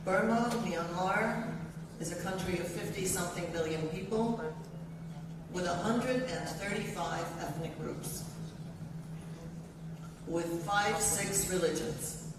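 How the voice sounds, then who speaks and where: A woman's voice: on the low side, slow, pauses often, background noise. An older woman giving an earnest, emphatic speech to a university audience, introducing a guest in short listing phrases. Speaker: event speaker introducing a guest. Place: large university hall. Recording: echoey hall, voice from far off.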